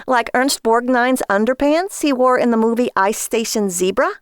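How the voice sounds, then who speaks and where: A woman's voice: on the high side, moderate pace, clean tone, no room sound, clean studio sound, pitched above the performer's own voice, close to an everyday voice. A woman voicing an exasperated, nagging wife in a story, sarcastic and scolding toward her husband.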